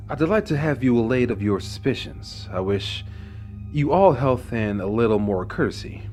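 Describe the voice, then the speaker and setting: Man playing a low-voiced, deranged gothic murderer. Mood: falsely jovial bravado. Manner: theatrical, formal, brooding, drops into hushed whispers. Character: deranged, smug murderer confessing his crime. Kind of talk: dramatic horror narration of a classic gothic tale.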